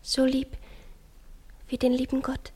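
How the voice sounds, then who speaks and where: An actress playing a clingy, jealous twelve-year-old girl in a 1950s German radio play, intense and breathy, often dropping to whispers. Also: high voice, completely flat delivery, slow pace, dark tone. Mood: adoring, secretive.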